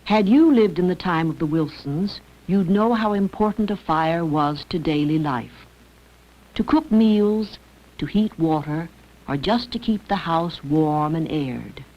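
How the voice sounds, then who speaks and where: A woman's voice: low voice, a little slow. A woman reading scripted narration for an educational film. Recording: dull lo-fi old educational film.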